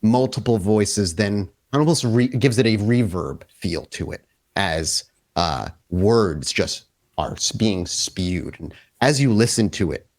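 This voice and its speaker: A man narrating a tabletop horror game as storyteller, with descriptive, deliberate phrasing. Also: on the low side, animated.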